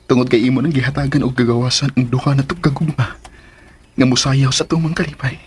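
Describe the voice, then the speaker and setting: A man's voice: mid-range voice, fairly even intonation, fairly quick, slightly rough. Earnest Filipino lover man. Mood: tender, joyful. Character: ardent male lover returned from death, tender and reassuring. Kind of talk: Philippine radio drama acting, sentimental romance.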